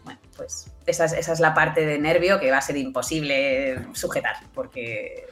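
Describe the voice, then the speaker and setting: Lower-pitched Spanish woman, informal interview guest. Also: fairly even intonation, moderate pace, soft background music. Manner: informal, often adds tag questions. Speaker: interview guest, project coordinator. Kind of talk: casual interview conversation.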